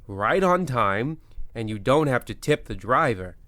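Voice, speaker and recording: A man's voice: medium pitch, very animated, bright, forceful tone, no room sound. Theatrical man hosting a horror show. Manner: theatrical, drawn-out dramatic pauses. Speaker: narrator and host. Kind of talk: mock-spooky horror-host narration.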